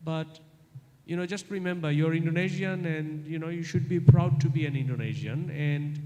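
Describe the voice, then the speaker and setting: Emphatic Bangladeshi-accented lecturer in a reverberant hall. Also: male voice, on the high side, normal speed, mellow. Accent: Bangladeshi English. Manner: emphatic, repeats key points for stress. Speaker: guest lecturer. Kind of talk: live lecture answering a student's question. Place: large university hall.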